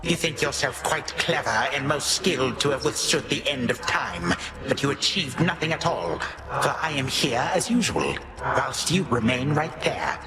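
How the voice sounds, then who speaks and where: An actor playing a haughty, menacing old god of time in a game, speaking formally and precisely, with condescension. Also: male voice, mid-range voice, expressive, moderate pace, close to an everyday voice. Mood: scornful. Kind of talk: scripted game acting. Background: loud music.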